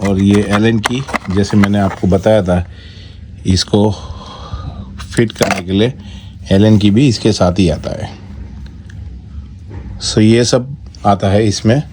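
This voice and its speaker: A man with an Indian accent giving a casual, step-by-step product walkthrough in short, halting phrases. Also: on the low side. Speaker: product reviewer and vlogger. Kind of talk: casual unboxing walkthrough, Hindi mixed with English. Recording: noticeable background noise.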